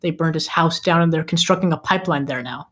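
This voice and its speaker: A woman's voice: sits low, fast, a bit bright. A woman playing a loyal, earnest best friend on the witness stand, speaking emphatically at a screenplay table read.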